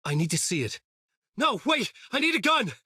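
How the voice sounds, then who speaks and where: An actor playing a haunted, brooding writer trapped in a nightmare, in an intense, dramatic horror game delivery with a husky edge. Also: male voice, sits high, very animated, moderate pace, bright, forceful tone, no room sound, studio quality, exaggerated voice. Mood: alarmed. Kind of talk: psychological horror game dialogue and brooding narration.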